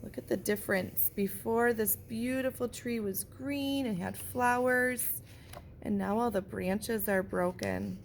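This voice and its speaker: A woman, a teacher, reading a picture book aloud and pausing to point out what the pictures show. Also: a little high, vocal fry, a little hiss or hum. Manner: gentle, slow storybook delivery with pauses.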